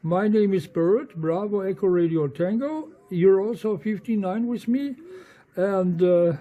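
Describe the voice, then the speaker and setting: A man with a Dutch accent making a ham radio contact in halting English, spelling things out with the phonetic alphabet. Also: sits high, dark tone, dull audio. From eastern Netherlands. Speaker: amateur radio operator.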